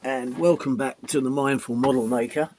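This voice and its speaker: A man hosting a model-making channel, his voice croaky from a cold, walking through his build step by step in a casual way with plenty of ums. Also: medium pitch, steady tone, a little mellow, a little hiss or hum. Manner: unhurried, many ums, explains while showing parts.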